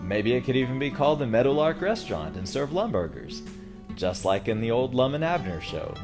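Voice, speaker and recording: A man's voice: mid-range voice, big pitch swings, brisk, over music. A man reading scripted narration as the host of a local history tour series.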